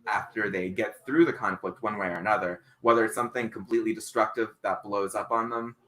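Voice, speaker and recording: Solo streamer, male, first language Spanish, lowish voice, loses his thread and uses filler words. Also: fairly even intonation, a little fast, pushed and bright. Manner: loses his train of thought and reacts to chat comments. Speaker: live-stream host. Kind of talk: solo live stream talk.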